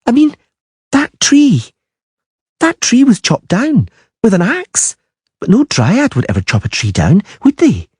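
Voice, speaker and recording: A man's voice: very high voice, huge pitch swings, unhurried, long pauses, darkish tone, breathy edge, dry recording, clean studio sound, voice pushed up, heavily acted. A man voicing a frightened but clever young girl in an audiobook reading, speaking in a trembling voice. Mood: trembling, insistent. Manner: trembling, pleading.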